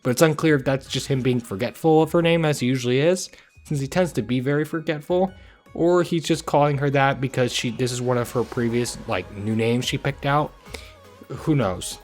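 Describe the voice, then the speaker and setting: A man's voice: mid-range voice, moderate pace, faint music behind. A man giving casual, opinionated commentary in the style of a scripted online video essay. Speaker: YouTube reviewer.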